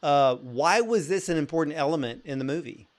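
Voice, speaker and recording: A man's voice: on the high side, lively intonation, brisk. A man interviewing a filmmaker, earnest and enthusiastic as he asks his questions.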